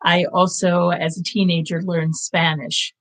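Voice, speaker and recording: Woman, late fifties, channel host; unhurried, low, encouraging live-stream chat. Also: little pitch movement. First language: English. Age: 50s. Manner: earnest, reflective, encouraging. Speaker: language-learning channel host. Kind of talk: casual live-stream update. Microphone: live-stream setup.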